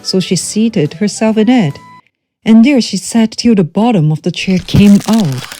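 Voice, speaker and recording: A woman reads a children's tale as a storyteller. Her voice is mellow and her delivery is slow and measured, with animated pitch movement. Music plays behind the narration.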